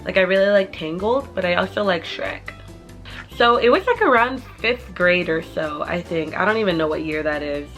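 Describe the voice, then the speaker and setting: A woman's voice: lowish voice, moderate pace, fairly bright tone, soft background music. Chatty young woman rambling to camera. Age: 20s. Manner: chatty, rambling with many "like" fillers. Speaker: vlogger. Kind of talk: casual Q&A chat to camera.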